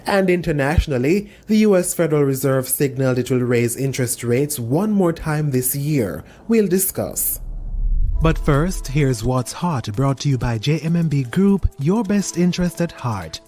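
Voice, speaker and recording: A man reading scripted business news in a measured, formal way. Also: fairly high pitch, lively intonation, warm tone, faint music behind. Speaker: news reader.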